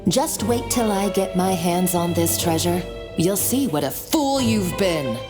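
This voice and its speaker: A ruthless game villainess who gloats and mocks with theatrical condescension. Her delivery swings widely in pitch yet takes its time, over clearly audible game music. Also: animated, a little slow. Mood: gloating. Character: sneering, gloating, ruthless young female villain. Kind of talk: video game voice acting.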